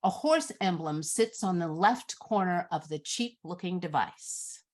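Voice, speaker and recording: A woman reading screenplay directions as scripted narration in a measured, descriptive manner. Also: lowish voice, expressive, darkish tone.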